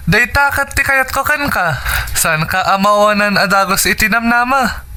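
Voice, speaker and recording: A supportive young Ilocano friend in a radio drama. His voice is high and very bright, with a friendly, sympathetic manner. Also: normal speed. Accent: Filipino (Ilocano).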